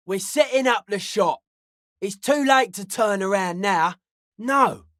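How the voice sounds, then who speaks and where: A man's voice: high voice, no room sound, clean studio sound. A man playing an intense young hardcore raver in a video game, with a slangy, preachy, grandiose way of talking. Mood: defiant, indignant. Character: intense young male hardcore raver, drug-loving, anti-authority philosopher. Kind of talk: video game character dialogue.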